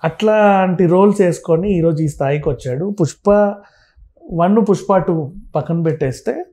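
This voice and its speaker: A man talks as a commentator in an interview. His voice sits a little high and has a dark, relaxed tone. He speaks conversationally but emphatically, repeating phrases and slipping in English words. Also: normal speed. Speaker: film and political commentator, interview guest.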